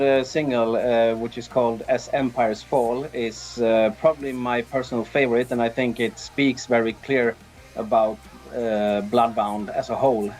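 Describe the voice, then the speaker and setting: A man with a Swedish accent talks casually as an interview guest. His pitch sits in the middle, and he often hesitates while he thinks. Faint guitar music plays behind him. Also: darkish tone. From Sweden. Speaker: band drummer, interview guest.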